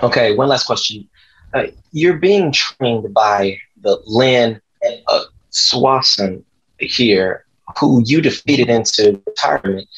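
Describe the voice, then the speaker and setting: A man playing a husky, prodding sports journalist. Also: mid-range voice, animated, slow pace, fairly bright tone, heavily acted. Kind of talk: screenplay table read acting. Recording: dull lo-fi call audio.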